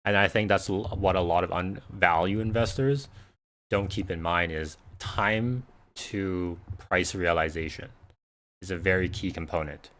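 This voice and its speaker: A man with a deep, low voice hosts a casual livestream, answering questions as he goes. His first language is Cantonese, and he rambles through loose, conversational sentences full of "you know" and "right?". He speaks into a desk mic. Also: a little slow, a bit bright. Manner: rambling, conversational, many fillers and restarts. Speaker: investing livestream host. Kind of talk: casual live Q&A chat.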